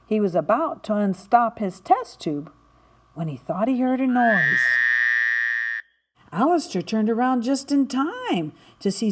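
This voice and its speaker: A woman narrating a children's story in an expressive, dramatic way, stretching words for emphasis. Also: on the high side. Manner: animated, dramatic delivery with emphatic word stretching. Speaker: storybook reader.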